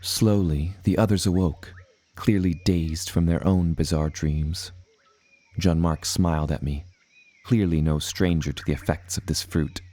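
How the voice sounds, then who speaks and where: An actor playing a reflective seafaring adventurer, narrating his tale in deliberate storybook phrasing for an audio drama. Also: low-pitched, fairly even intonation, warm tone. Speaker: first-person narrator.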